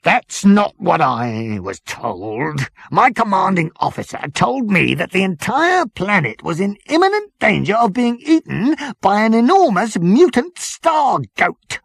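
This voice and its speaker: A man voicing a furious, militaristic officer who shouts and barks his words in a comic science fiction audiobook. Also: sits high, big pitch swings, unhurried, creaky, husky, no echo, pitched above the performer's own voice, full character voice. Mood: frantic ranting. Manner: shouting, barking questions. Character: furious, bellowing, militaristic male officer, fanatical and shrill.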